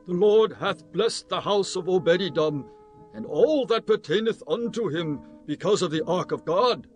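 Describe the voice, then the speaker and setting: Earnest high-pitched royal counsellor played by a man. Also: animated, moderate pace. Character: earnest messenger or prophet advising the king. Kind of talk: dramatized scripture acting. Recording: music behind the voice.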